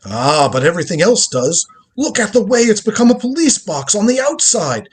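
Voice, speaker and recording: A man's voice: sits high, big pitch swings, moderate pace. A man playing a crotchety, proud old grandfather and time-travelling inventor in a live radio drama reading, with an imperious, lecturing manner. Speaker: lead actor in a live script reading.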